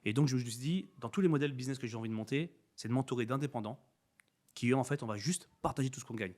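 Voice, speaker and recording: A man's voice: mid-range voice, fast talker, darkish tone. A man from France speaking as a podcast guest in a conversational interview, emphatic and repeating phrases for effect.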